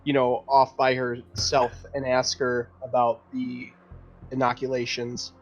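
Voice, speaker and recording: A man's voice: mid-range voice, monotone, measured pace, lo-fi sound, soft background music. A man playing a tabletop roleplaying game, casually talking through his actions and improvising dialogue in a hesitant, self-correcting way.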